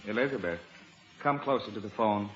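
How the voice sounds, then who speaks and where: A man's voice: on the low side, expressive, brisk, lightly acted. An actor playing a harried, blustering middle-aged businessman husband in a 1950s radio sitcom, stammering in an American accent. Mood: controlled, conspiratorial. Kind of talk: 1950s radio sitcom acting before an audience. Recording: dull, noisy, echoey old radio broadcast.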